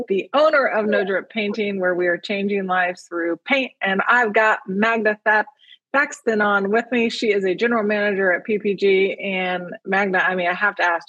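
A woman's voice: medium pitch, a bit bright, no room sound. A woman hosting a podcast, chatting with enthusiasm and leaning on fillers as she sets up her questions. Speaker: podcast host. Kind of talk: casual interview. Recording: slightly muffled lo-fi sound.

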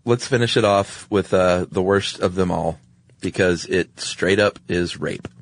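A man's voice: deep voice, unhurried. A man co-hosting a podcast, retelling stories in casual chat with a droll, offhand manner. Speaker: podcast co-host.

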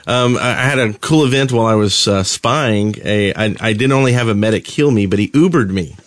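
A man's voice: lowish voice, fairly quick, no room sound. A man co-hosting a podcast, telling casual anecdotes about gaming. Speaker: podcast co-host.

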